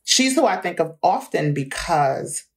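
A woman in her forties speaks in a fairly low voice as a guest in an interview. She talks in a candid, reflective way and laughs easily between earnest points. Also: big pitch swings, unhurried. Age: 40s.

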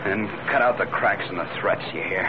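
An actor playing a wary, resentful ex-convict in a 1940s radio drama, with a curt, defensive way of talking. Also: male voice, lowish voice, fairly quick, natural voice. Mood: irritated, defiant. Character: wary, resentful, short-tempered male ex-convict. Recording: muffled, noisy old radio recording.

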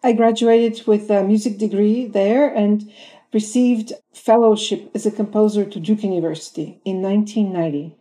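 A woman's voice: mid-range voice, steady tone, moderate pace, mellow. A woman in her fifties from Bulgaria, a film composer answering interview questions thoughtfully with many ums and uhs.

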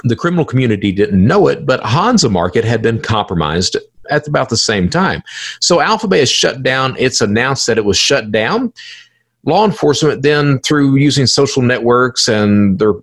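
A man's voice: mid-range voice, big pitch swings, normal speed, a bit bright, slightly rough. A man hosting a solo explanatory podcast, talking emphatically, repeating phrases and posing questions to himself. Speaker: podcast host explaining cybercrime.

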